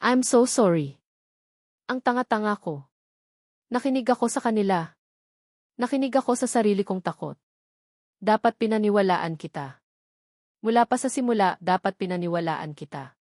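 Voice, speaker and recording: A woman's voice: mid-range voice, lively intonation, moderate pace, long pauses, a little mellow, no room sound, studio quality, close to an everyday voice. A woman voicing a remorseful, grief-stricken man pleading tearfully in a romantic audio drama. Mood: tearful confession. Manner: sobbing, pleading.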